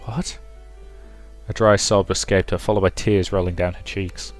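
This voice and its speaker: A man's voice: low-pitched, level tone, brisk, soft background music. A man reading a visual novel aloud as dramatic storybook narration for a let's play.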